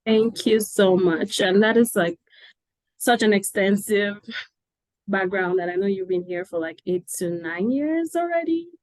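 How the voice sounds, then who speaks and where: A woman from Nigeria hosting a panel conversation over a video call, chatty and quick to laugh, with many fillers. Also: mid-range voice, expressive, a little mellow, dry recording. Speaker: interviewer.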